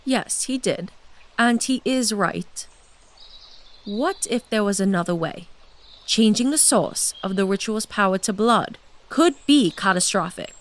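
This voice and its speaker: An actress playing a seductive, scheming blood queen who has lived hundreds of lives, speaking in a measured, intimate way in a fantasy audio drama. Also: mid-range voice, animated, close to an everyday voice. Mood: coaxing. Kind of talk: full cast audio drama.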